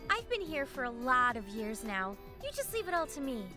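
A high, lively voice plays a breezy schoolgirl who knows her way around, as a woman voices her. Clear music plays behind her. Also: moderate pace. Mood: friendly. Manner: breezy, chatty. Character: friendly chatty veteran schoolgirl. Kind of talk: fan dub acting.

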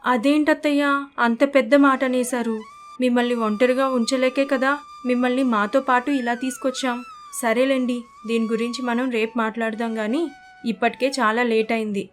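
A woman voicing a considerate young daughter-in-law in an animated story, polite, coaxing and concerned. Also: on the high side, normal speed, slight background noise, close to an everyday voice. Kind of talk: animated moral story dialogue. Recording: faint music bed behind the voice.

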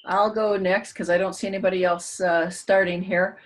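A woman's voice: fairly low pitch, little pitch movement, moderate pace. Friendly Canadian woman on a webcam call. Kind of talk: casual group video call.

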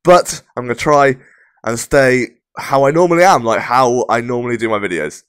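A young man hosting casual game commentary, his voice croaky and rough from a sore throat, chatty and upbeat. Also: mid-range voice, normal speed, no room sound. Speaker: gaming channel host.

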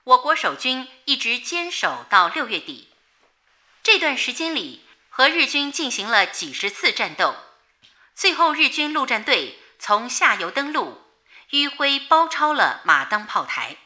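A solemn wartime woman announcer in a radio drama. Her delivery is formal and declamatory, with a piercingly bright, forceful tone and strongly varied, fairly high pitch. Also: sing-song, a little slow, heavily acted. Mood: grave, resolute. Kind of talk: 1930s wartime news bulletin.

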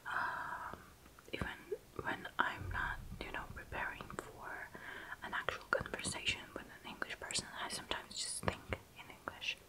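A young woman from Russia with a Russian accent, whispering softly and closely as an ASMR host answering viewer questions, with pauses and fillers. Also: low-quality recording. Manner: soft whisper, close to the mic, halting with fillers. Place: small dark room indoors.

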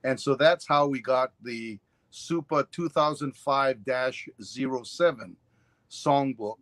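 A man's voice: mid-range voice, slow, a bit bright, no echo. Older man from Hawaii, rambling storyteller. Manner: rambling storyteller, many fillers and asides. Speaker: ukulele teacher, guest. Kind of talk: casual interview chat. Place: home room on a video call. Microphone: webcam on a video call.

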